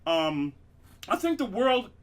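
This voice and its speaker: A man's voice: high voice, sing-song, slow pace. A man hosting a casual comedy podcast, rambling with many fillers and restarts and laughing at his own jokes. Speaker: podcast host.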